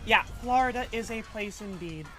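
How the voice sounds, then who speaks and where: A woman's voice: mid-range voice, normal speed. Chatty woman streamer, slangy and rambling. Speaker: livestreamer. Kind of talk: casual live-stream chat, reading chat aloud. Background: faint background music.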